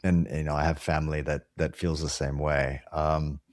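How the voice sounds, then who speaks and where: A man from Hawaii hosts a podcast interview with a very deep, low voice. He rambles a little, filling pauses and restarting his sentences. The recording is close and dry, with no room sound. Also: little pitch movement, dry recording. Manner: rambling, many fillers and restarts. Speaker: podcast host. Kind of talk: casual interview.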